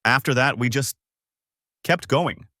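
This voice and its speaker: A man's voice: mid-range voice, measured pace, sharp tone, no echo, clean studio sound, pitched above the performer's own voice. A man narrating a dramatic story, voicing a worn-down corrupt police officer who confesses. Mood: defeated confession. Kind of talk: narrator reading story dialogue.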